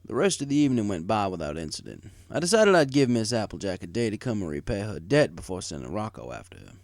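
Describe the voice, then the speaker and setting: A man with a fairly low voice reads first-person fiction. His delivery is wry and conversational, and his pitch moves up and down in a lively way as he tells it. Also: quick pace, no echo, studio quality. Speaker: story reader. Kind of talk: first-person scripted fan fiction narration.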